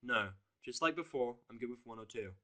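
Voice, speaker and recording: A man's voice: medium pitch, lively intonation, fairly quick, bright, forceful tone. Sardonic man narrating a story. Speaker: fan fiction narrator. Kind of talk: scripted first-person story narration.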